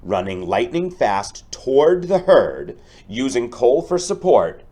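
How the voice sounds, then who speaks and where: A man teaching through a storybook read-aloud, speaking deliberately and explaining things directly to his pupils. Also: mid-range voice, very animated, mellow.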